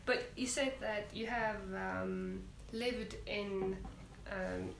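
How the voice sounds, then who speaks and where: A woman's voice: medium pitch, fairly even intonation, drawn-out words, gravelly. A young woman from Estonia with an Estonian accent, interviewing a guest in a chatty way, full of "like" and other fillers. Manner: chatty, filler-heavy, lots of "like". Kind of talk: casual conversational interview. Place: living room, seated on a sofa. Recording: roomy living room with faint hiss.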